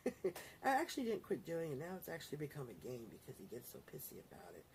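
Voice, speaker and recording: A middle-aged woman talks to her viewers in a loose, rambling way and often corrects herself. Her voice sits fairly low and has a gravelly, creaky edge. A faint hiss of noise runs under the recording. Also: wildly swooping pitch, fast talker, a little mellow. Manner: rambling, chatty, self-correcting. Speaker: vlogger. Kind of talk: casual talk to camera.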